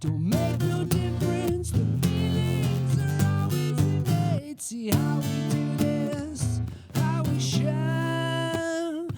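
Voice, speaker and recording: A woman's voice: very high-pitched, extremely slow. A woman singer performing a song with guitar accompaniment. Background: acoustic music, guitar.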